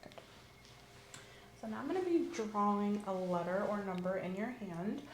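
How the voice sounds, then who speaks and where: Soft-spoken woman giving exam instructions. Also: mid-range voice, expressive, normal speed. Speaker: ASMR host performing an exam. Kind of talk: ASMR physical exam roleplay. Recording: roomy with faint hiss.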